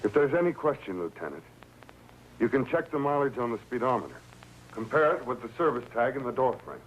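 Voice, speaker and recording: A man's voice: mid-range voice, big pitch swings, fairly quick, lightly acted. An actor playing a guarded chauffeur with a shady past in a 1950s TV crime drama, hesitant and defensive in his answers. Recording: noisy 1950s TV soundtrack.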